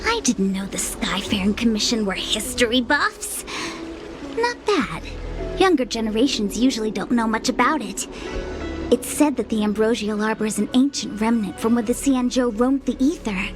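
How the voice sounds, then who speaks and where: Woman acting lively, high-voiced slacker girl guide. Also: moderate pace, natural voice. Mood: casual, knowing. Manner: chatty, breezy, expressive. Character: slacker young female diviner guide, playful, easily flustered. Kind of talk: video game voice acting. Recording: background music under the voice.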